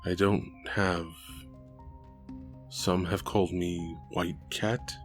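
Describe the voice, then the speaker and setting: Man voicing a deep, grave cat man. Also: little pitch movement, slow pace, soft background music. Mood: reserved, courteous. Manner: terse, grave, formal. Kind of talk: audio drama acting.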